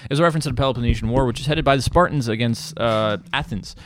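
A man's voice: medium pitch, rapid-fire, fairly bright tone. A man from Bosnia chatting casually as a podcast guest, explaining things in a jokey, digressive way with some crude slang. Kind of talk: casual chat, explaining at length.

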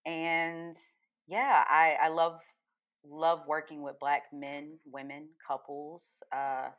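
A woman with a low-pitched voice talks as a podcast guest on slightly dull, muffled audio. She is a therapist with a casual, playful and informal way of speaking. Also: level tone, slow pace. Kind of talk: casual self-introduction.

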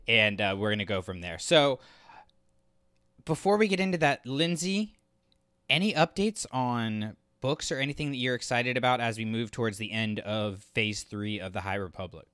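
A man's voice: medium pitch, animated, moderate pace, fairly bright tone, clear, resonant tone, dry recording, clean studio sound. A man co-hosting a podcast in casual, jokey, rambling chat. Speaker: podcast co-host.

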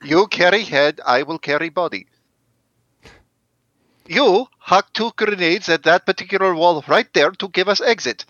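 A man's voice: high voice, a little slow, a bit bright, dry recording. A man playing a tabletop game, speaking in an exasperated, decisive way. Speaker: player. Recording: dull lo-fi mic.

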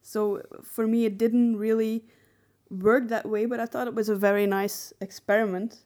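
A woman co-hosting a podcast, discussing film casually and analytically, often pausing mid-phrase to find her words. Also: a little high, flat delivery, a little mellow. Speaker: podcast co-host.

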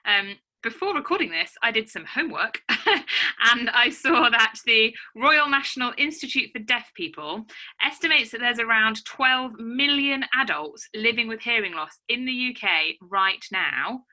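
A woman's voice: fairly high pitch, expressive, normal speed, bright, forceful tone, poor audio. A woman hosting a podcast from home, asking questions in a friendly, keen way. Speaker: podcast host. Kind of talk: podcast interview.

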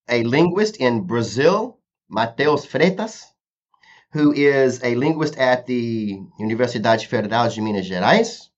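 A man hosting a podcast, talking in a loose, rambling way. His voice sits at an ordinary middle pitch, and he circles back on himself with fillers and restarts. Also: highs cut off. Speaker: podcast host. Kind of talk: casual podcast chat.

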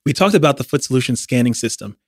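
A man's voice: medium pitch, animated, fast, slightly rough, no room sound. An upbeat male podcast host leads a casual interview in a chatty way. His voice sits in the middle range. It is recorded close and dry.

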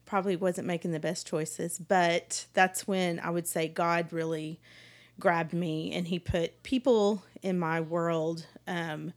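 A woman's voice: fairly low pitch, steady tone, slow. A middle-aged woman, casually telling her life story as a podcast guest. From East Texas, United States.

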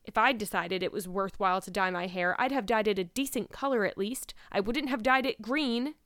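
A woman voicing a stern, exasperated older guardian in a storybook, with a brisk, sarcastic, scolding manner. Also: medium pitch, dry recording, clean studio sound, close to an everyday voice.

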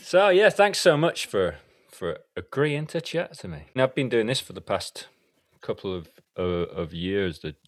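A man's voice: fairly low pitch, big pitch swings, a little slow, no echo, clean studio sound. A young man with a mixed Hull and Scottish accent, hosting a podcast and chatting casually, with lots of restarts. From born in Hull, raised in Scotland. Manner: chatty, with frequent restarts and fillers. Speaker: podcast host. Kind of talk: casual interview chat.

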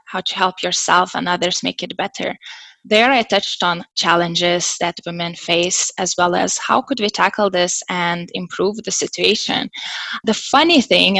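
A Lithuanian woman speaks English with her native accent in an earnest interview style. Her voice is lowish with a gravelly creak, and the sound is very dry. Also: a bit bright, no room sound, low-quality recording. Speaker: interview guest, tech consultant. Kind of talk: earnest conversational interview talk.

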